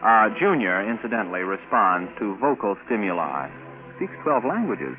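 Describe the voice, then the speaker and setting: An actor playing a matter-of-fact former rocket pilot turned computer operator in a 1950s radio drama, plainspoken and conversational. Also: male voice, fairly low pitch, big pitch swings, normal speed. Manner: plainspoken, conversational first-person storytelling. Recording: tinny vintage radio recording with music.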